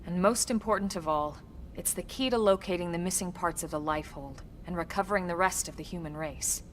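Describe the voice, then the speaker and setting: An actress playing a composed, no-nonsense female military officer in a video game, speaking in a crisp, measured, dry way. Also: lowish voice, noisy background, natural voice. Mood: measured, explaining. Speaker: voice actor. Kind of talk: scripted video game cutscene acting.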